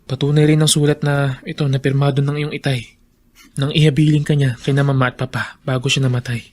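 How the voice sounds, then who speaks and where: A man's voice: mid-range voice, level tone, brisk, darkish tone, dry recording, close to an everyday voice. An actor playing an earnest, caring young man in a Filipino radio drama, serious and persuasive. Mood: solemn. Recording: background music under dialogue.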